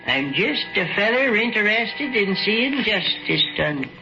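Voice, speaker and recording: A man's voice: high-pitched, sing-song, unhurried, heavily acted. An actor playing a folksy, coldly amused old country man with a rural American accent, drawling and taunting in 1940s radio style. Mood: calm, mocking. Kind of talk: 1940s radio drama acting. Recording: dull old radio recording, loud music behind.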